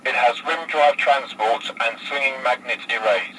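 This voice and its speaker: A man's voice: medium pitch, unhurried. Matter-of-fact man, faint noise. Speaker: presenter demonstrating vintage equipment. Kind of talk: plain scripted technical demonstration.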